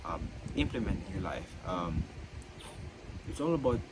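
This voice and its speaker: Young man, stutter, halting, very low voice, loud noise behind. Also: very animated. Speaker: student. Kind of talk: unscripted reflective monologue. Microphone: own mic.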